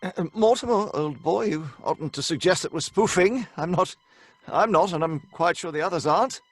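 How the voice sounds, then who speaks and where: An actor playing an indignant, excitable gentleman guest in an old-style radio horror drama, protesting in stammers. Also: high voice, normal speed, dry recording.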